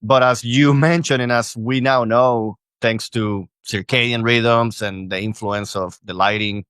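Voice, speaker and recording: A man's voice: medium pitch, fairly even intonation, unhurried, fairly bright tone, smooth, no echo. A man in his thirties from Venezuela, a coach talking as a guest in a friendly, upbeat way on a studio mic. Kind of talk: casual interview chat. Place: small home studio, indoors. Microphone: studio mic on boom arm.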